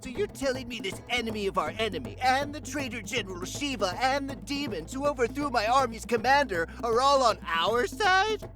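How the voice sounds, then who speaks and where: A man's voice: extremely high pitch, big pitch swings, a little fast, few pauses. A man voicing a pompous, cowardly puppet king in a video game, with a whiny, easily flustered manner. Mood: indignant, flustered. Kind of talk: animated video game voice acting. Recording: prominent music behind the voice.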